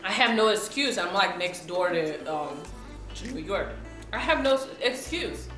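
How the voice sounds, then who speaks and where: Bright-voiced young woman vlogger, chatty. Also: mid-range voice, expressive, moderate pace. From South Sudan. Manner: chatty, keeps checking in with viewers. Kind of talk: casual chat to camera while eating. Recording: roomy room with faint background music.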